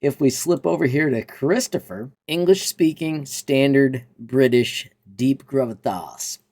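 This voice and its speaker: A man narrating a casual software tutorial as host, in an upbeat, enthusiastic manner. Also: medium pitch, measured pace.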